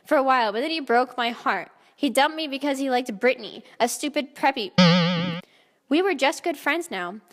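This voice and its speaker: A woman's voice: sits high, a bit bright. A woman giving a theatrical, comedic dramatic reading of fan fiction as the narrator. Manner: theatrical, often breaking into laughter. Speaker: narrator, reader. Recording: long hall reverb.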